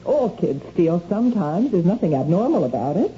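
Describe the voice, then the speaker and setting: Radio drama wife: anxious, defensive, pleading, dark soft tone, dull hissy old sound. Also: female voice, lowish voice, very animated, moderate pace. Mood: wistful, confiding. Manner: pleading, agitated, evasive. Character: anxious, defensive married woman, a compulsive shoplifter. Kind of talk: 1970s radio drama acting. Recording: muffled, noisy old radio recording.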